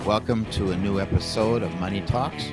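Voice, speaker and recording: Low-pitched man hosting a podcast. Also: steady tone, fairly quick, loud music behind the voice. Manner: chatty, informal, addresses listeners directly. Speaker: podcast host and business coach. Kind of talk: casual podcast introduction and interviewing.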